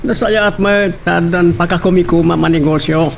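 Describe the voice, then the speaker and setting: A man's voice: sits high, steady tone, normal speed, creaky, slight background noise. A man playing an agreeable, supportive friend in an Ilocano radio drama.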